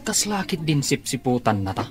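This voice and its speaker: A man's voice: mid-range voice, big pitch swings, normal speed, lightly acted. A man acting in a radio drama as a suspicious, gossipy office worker with an Ilocano accent. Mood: wary, scheming. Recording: music behind the voice.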